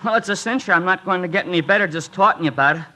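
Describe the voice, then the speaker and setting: An actor playing an eager, hapless young college runner, out of breath, halting and good-naturedly self-deprecating in an old radio sitcom. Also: male voice, sits high, sharp tone. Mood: cheerful, breathless. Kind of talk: 1950s radio sitcom acting. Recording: very noisy old radio broadcast.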